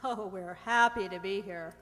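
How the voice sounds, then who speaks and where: A woman's voice: mid-range voice, moderate pace, a bit bright, natural voice. A woman playing a guarded, wry press photographer in a radio play. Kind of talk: radio play acting of a 1940s screwball comedy. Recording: reverberant hall stage recording.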